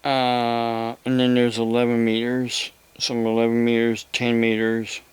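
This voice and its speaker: Slow-talking man with a very clear voice. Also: medium pitch, completely flat delivery, dry recording. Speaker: vlogger. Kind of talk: rambling casual explanatory talk.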